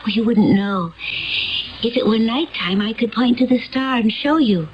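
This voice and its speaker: An actress playing a gentle, naive alien woman from a utopian world, earnest and solemn in a dramatic radio play style. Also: on the high side, lightly acted. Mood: earnest, calm. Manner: earnest, dreamy, solemn, deliberate. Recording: dull old radio recording with music behind.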